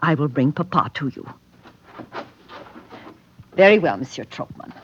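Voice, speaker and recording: A woman's voice: deep voice, expressive, measured pace. A woman playing an anxious, trusting wife and tender mother in an old-time radio drama. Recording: muffled, noisy vintage radio recording.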